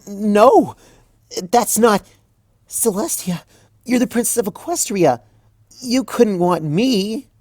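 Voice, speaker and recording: Man voicing a nervous young mare, raised high, strained, dry close sound. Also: animated, slow pace, long pauses, darkish tone, no room sound, heavily acted. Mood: anxious. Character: young female unicorn scholar, earnest, flustered.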